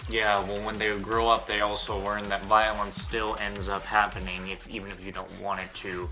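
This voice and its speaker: A man's voice: on the low side, flat delivery, moderate pace, sharp tone, soft background music. A man hosting a gaming video, giving playthrough commentary.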